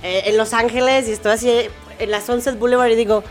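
A woman's voice: fairly high pitch, steady tone, fast, faint music behind. A woman from Medellín, Colombia, with a Colombian accent, explaining things enthusiastically as an interview guest, with frequent fillers. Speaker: car tester, guest. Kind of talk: casual interview chat.

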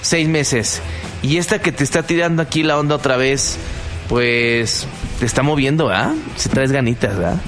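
A man's voice: medium pitch, normal speed. A man with a Mexican accent hosting a radio show with casual banter and interview questions. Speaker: radio host. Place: radio studio. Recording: loud music bed behind voice.

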